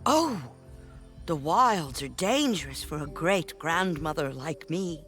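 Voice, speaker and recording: An actress playing a kindly, adventurous elderly great-grandmother in an animated game, speaking eagerly and expressively. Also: deep voice, slow, soft background music, full character voice. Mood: excited.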